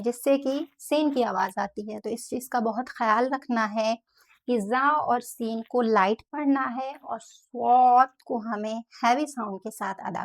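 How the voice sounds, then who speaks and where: Soft-spoken woman teaching letter sounds. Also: fairly high pitch. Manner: slow, careful demonstration of letter sounds with repetition. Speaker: Quran pronunciation teacher. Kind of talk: step-by-step tajweed lesson.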